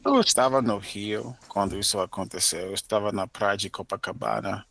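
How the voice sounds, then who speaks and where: An American man from Maryland, a native English speaker, answering interview questions in a careful, measured way with frequent pauses as he searches for words. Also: on the low side, fairly bright tone. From United States (Maryland). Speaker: interview guest, Portuguese learner. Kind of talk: podcast interview.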